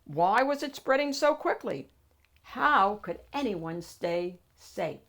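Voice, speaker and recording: A woman narrating a children's picture book in an expressive, emphatic storytelling manner with deliberate phrasing. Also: mid-range voice. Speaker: storybook reader, read-aloud host.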